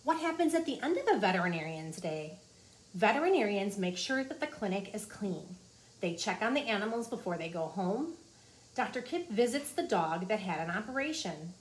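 A woman's voice: mid-range voice, big pitch swings, moderate pace. A woman in her forties reading a children's nonfiction book aloud like a teacher, explaining things simply to young listeners in a small office. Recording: clearly noisy room ambience.

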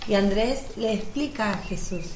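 A woman's voice: mid-range voice, moderate pace, a little mellow, reverberant, slight background noise. A woman narrating a scripted religious story as the narrator of a radio drama.

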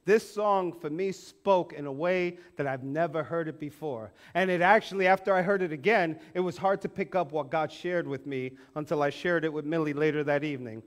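Man, pastor, fairly high voice, lively sermon, church stage, headset mic. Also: fairly quick, hardly any pauses. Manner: animated, acts out quoted voices, swings from loud to hushed. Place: church stage with band instruments.

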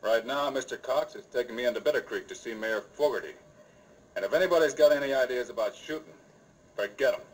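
An American actor playing a steady, plain-spoken, resolute U.S. marshal in an old-time radio western. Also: male voice, mid-range voice, fast, close to an everyday voice. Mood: firm. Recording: noisy vintage radio drama recording.

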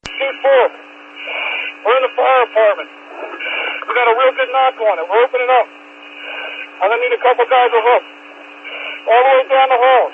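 A man's voice: very high voice, steady tone, moderate pace, long pauses. A man speaking as a firefighter on scene, sending emergency traffic over a two-way radio. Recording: very noisy, tinny fire radio transmission.

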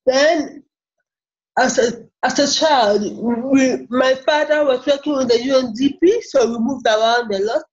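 A Ghanaian woman in her forties, a software engineer talking about herself haltingly, with many repeated words, on a video call from a small office. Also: sits high. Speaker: interview guest, software engineer. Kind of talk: casual interview talk. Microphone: laptop webcam on a video call. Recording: muffled video call audio.

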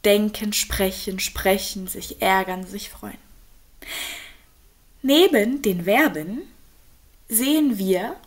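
Slow, patient young woman teaching German. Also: medium pitch. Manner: slow, clear, patient explaining with examples. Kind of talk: online grammar lesson.

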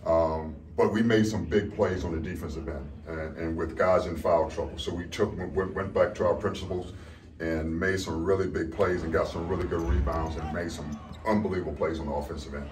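A man's voice: low voice, steady tone, fairly quick. A middle-aged man, a head basketball coach, giving an upbeat postgame interview in an arena corridor, rambling and restarting his sentences. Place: arena corridor by a painted wall, indoors. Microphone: handheld camera from a few feet off. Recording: distant mic with arena noise.

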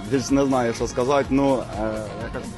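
A man's voice: mid-range voice, fairly even intonation, measured pace. A man, a football fan, answering hesitantly into a reporter's handheld mic on a city street at night. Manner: hesitant, searching for words. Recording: noisy outdoor street recording. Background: music and crowd.

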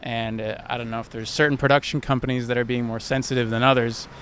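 A young man answers questions on a city street, speaking into a mic held out to him. His voice stays level and flat as he thinks aloud and restarts sentences. Faint traffic noise runs behind. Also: medium pitch, fast talker. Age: young adult. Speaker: passerby. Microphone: handheld mic held by interviewer.

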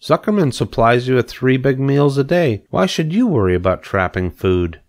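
A man voicing a clever, patient female spider in a storybook, measured and matter-of-fact. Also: mid-range voice, expressive, voice pushed up, lightly acted. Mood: gently advising. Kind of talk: storybook character reading.

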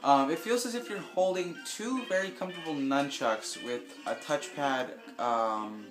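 A man casually commenting while he plays a video game, slightly raspy and hesitant, with lots of ums, filmed off a TV at home. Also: fairly high pitch, unhurried. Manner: rambling, hesitant with many ums. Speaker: gameplay video host. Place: home room with TV, filming screen. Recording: echoey room, game music behind.

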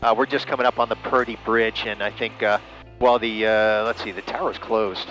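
A man chatting over ham radio, relaxed and enthusiastic, with lots of fillers, from a small plane cockpit and his radio room. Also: fairly low pitch, normal speed, soft background music, rough recording. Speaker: private pilot and ham radio operator. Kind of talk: casual radio chat.

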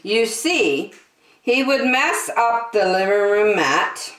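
An older woman hosting story time, reading a picture book aloud to young children with expressive, drawn-out emphasis. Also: mid-range voice, distant mic. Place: small decorated indoor room. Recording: roomy echo.

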